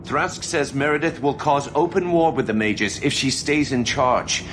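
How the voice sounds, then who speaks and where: A man's voice: mid-range voice, unhurried, slight background noise, lightly acted. A man playing an anxious, earnest rebel conspirator in a video game drama, pleading and defensive. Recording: loud music behind the voice.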